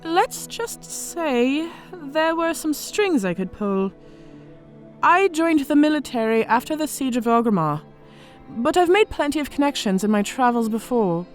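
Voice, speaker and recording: A battle-scarred orc woman with a high, lively voice that rises and dips as she spins war tales. She speaks with theatrical, measured weight in a fantasy audio drama, over faint music. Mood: wry, boastful. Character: battle-scarred female orc veteran officer, worldly storyteller. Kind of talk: audio drama character acting.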